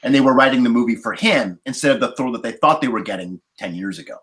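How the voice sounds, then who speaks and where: A man's voice: mid-range voice, animated, quick pace, a bit bright. A man in a casual roundtable discussion as a podcast panelist, explaining things in a digressive way while getting over a cold. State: recovering from a cold, occasional cough.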